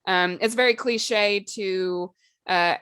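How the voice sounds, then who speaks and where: A woman's voice: mid-range voice, fairly even intonation, takes it slowly, fairly bright tone, smooth, no room sound. Woman podcast co-host, dry close sound. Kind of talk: casual podcast discussion.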